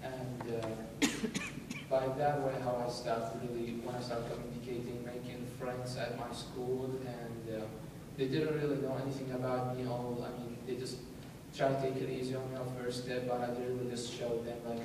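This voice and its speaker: A man's voice: medium pitch, background noise. Young man speaking from far off in an echoey hall. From Iraq. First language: Arabic. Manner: hesitant, many restarts, laughs easily. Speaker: conference speaker. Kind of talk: casual informal talk to an audience.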